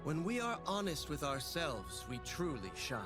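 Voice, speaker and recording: An actor playing a serene, noble mystical knight in a video game, speaking in a lofty, poetic way. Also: male voice, fairly high pitch, very animated, moderate pace. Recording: music behind game lines.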